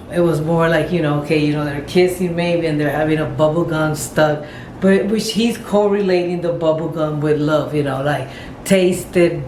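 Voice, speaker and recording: Middle-aged woman rambling in an echoey room. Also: low-pitched. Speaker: vlogger paying homage to a pop singer. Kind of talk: casual rambling commentary. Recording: echoey room with faint background noise.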